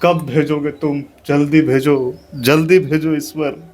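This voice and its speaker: A pleading, wailing downtrodden laborer, acted by a man in a stage monologue, his voice pitched high as he repeats his cries over and over. The delivery is raw and desperate. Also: little pitch movement, unhurried, darkish tone, lightly acted. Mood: lamenting.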